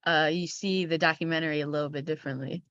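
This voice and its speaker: Young woman, lowish voice, casual polite interviewing, dry lo-fi audio. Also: steady tone, fast talker, a bit bright, dry recording. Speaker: young film critic interviewer.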